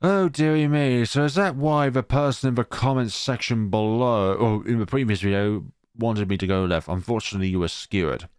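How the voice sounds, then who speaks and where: A man's voice: medium pitch, moderate pace, few pauses, smooth, dry recording, clean studio sound. A man reading a horror visual novel aloud as a let's player, adding casual, wry, understated asides. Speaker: video game let's player.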